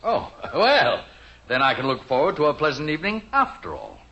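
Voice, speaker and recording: Genial pushy guest, American, 1950s radio sitcom, animated, bright, hearty, muffled recording. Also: male voice, fairly high pitch, normal speed, heavily acted. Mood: breezy. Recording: dull, hissy old radio recording.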